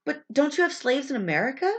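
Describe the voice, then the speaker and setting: A woman's voice: high-pitched, sing-song, fast talker, voice pushed up. A woman voicing an impulsive, haughty young colonial woman in a storybook, impatient, with a stuffy voice. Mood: impatient, startled. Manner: incautious, impatient. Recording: dull, lo-fi sound.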